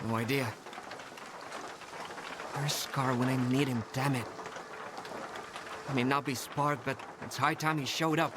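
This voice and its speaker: Slow-talking gruff, weary stalker played by a man. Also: mid-range voice, steady tone, very noisy, close to an everyday voice. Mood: weary, worried. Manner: blunt, sweary, commanding. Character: weary gruff stalker, cursing, taking charge. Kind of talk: video game cutscene acting.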